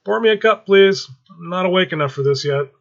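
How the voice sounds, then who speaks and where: A man's voice: high-pitched, brisk, vocal fry, lo-fi sound, voice pushed up. A man voicing a blunt, wisecracking family man in a story. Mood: groggy.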